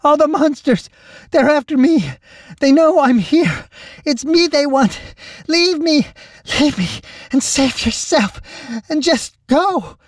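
A man's voice: very high-pitched, expressive, slow pace, dry recording, studio quality, recorded from a distance, voice pushed up, heavily acted. A man voicing a frail, panicked woman in a squealing, pleading voice for an audiobook-style story.

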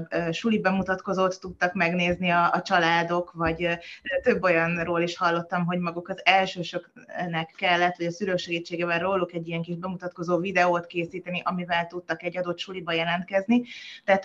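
A woman's voice: fairly low pitch, highs cut off. A woman child psychologist, a podcast guest, explaining things in a hesitant way with frequent filler sounds. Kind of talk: conversational interview.

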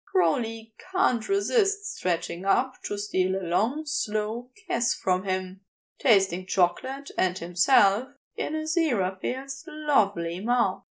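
A woman reading erotic fiction aloud as a scripted, measured narration. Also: medium pitch, gravelly. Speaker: fan fiction audiobook reader.

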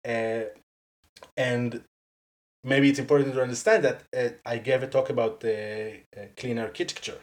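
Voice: mid-range voice, moderate pace. Israeli-accented speaker, chatty and laughing. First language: Hebrew. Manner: animated, laughs often, leans on "like" and "uh", restarts sentences. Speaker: guest, conference speaker. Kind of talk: casual interview chat.

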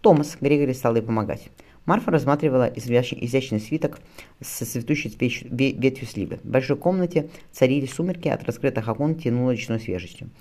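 A man's voice: mid-range voice, lively intonation, fairly quick, darkish tone. A man reading a story aloud as scripted narration with acted dialogue, often stumbling and repeating words. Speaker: audiobook reader.

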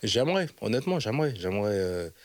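A man's voice: lowish voice, big pitch swings, no echo. A man, the host of a web channel, answering interview questions in a casual, emphatic way and checking that the listener follows him. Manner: emphatic, rapid, keeps asking "you see what I mean?".

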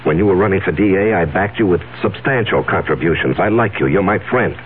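A man's voice: low voice, quick pace, lightly acted. An actor playing a nervous, evasive businessman in a 1970s radio drama, stammering and hesitant as he protests. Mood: firm, pleading. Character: nervous, evasive, well-off businessman hiding a secret. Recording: tinny, noisy old radio broadcast.

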